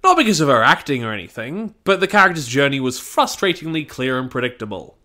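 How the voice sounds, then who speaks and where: A man's voice: on the high side, sharp tone. A man reading a scripted review as its host, in an opinionated manner.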